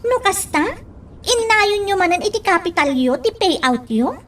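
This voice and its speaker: A woman's voice: extremely high pitch, lively intonation, measured pace, fairly bright tone, exaggerated voice. A woman playing a chatty young woman in an Ilocano radio romance drama. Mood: inquisitive.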